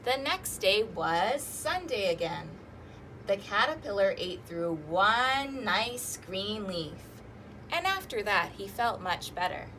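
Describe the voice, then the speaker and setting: A woman hosting a children's storytime, reading rhymes and picture books aloud in an animated, sing-song, exaggerated way. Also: mid-range voice, slow, a little hiss or hum, low-quality recording. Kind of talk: interactive children's read-aloud with rhymes and fingerplay.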